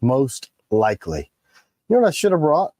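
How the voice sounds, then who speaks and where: A man with a raspy voice, tired in the morning, co-hosting a casual product livestream and explaining the details of the items he shows. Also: mid-range voice, wildly swooping pitch, moderate pace, mellow, no room sound, studio quality. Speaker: co-host of a knife company livestream. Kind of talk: casual live chat about products.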